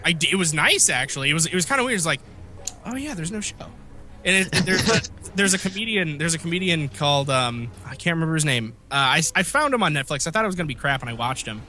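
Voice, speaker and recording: A man co-hosting a casual podcast, telling rambling stories with a slightly phlegmy, raspy voice. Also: a little high, expressive, quick pace, bright, forceful tone, slight background noise. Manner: rambling, self-interrupting storyteller. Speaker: podcast co-host. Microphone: remote call mic.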